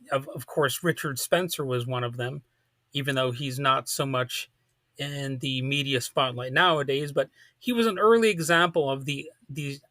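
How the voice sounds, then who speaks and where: A man delivering a partly scripted political commentary monologue, speaking emphatically with deliberate phrasing. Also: a little high, sharp tone, dry recording.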